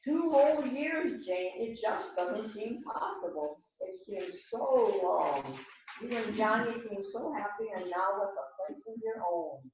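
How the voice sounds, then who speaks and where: A woman's voice: on the high side, lively intonation, measured pace, darkish tone. Woman playing eager houseguest friend, mystery script reading, very echoey, faint noise. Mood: bright, admiring. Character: Alice Manning, eager visiting friend in a murder mystery. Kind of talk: amateur readers theater rehearsal, script reading. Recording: very echoey room, distant mic, faint noise.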